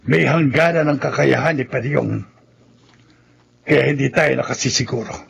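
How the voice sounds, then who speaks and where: A man's voice: medium pitch, moderate pace, creaky, a little hiss or hum. A man playing a defiant, vengeful grandfather in a horror radio drama, speaking grimly and emphatically. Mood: angry, defiant. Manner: grim, emphatic. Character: defiant, vengeful old grandfather sharpening a blade.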